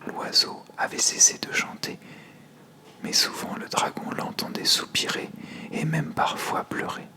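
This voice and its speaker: A storyteller narrating a fable in a hushed, measured ASMR whisper.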